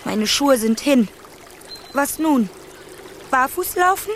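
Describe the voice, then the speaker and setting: An actress playing a plucky, stubborn fifteen-year-old princess in a radio play, prim and plain-spoken. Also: female voice, sits high, lively intonation, slow pace, slight background noise. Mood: resigned. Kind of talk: German radio play acting.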